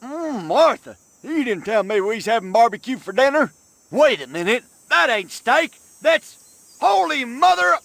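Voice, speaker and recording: Video game hillbilly farmer: rural American accent, very high gravelly voice, simple and excitable. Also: male voice, measured pace, dry recording, exaggerated voice. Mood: folksy, pleased.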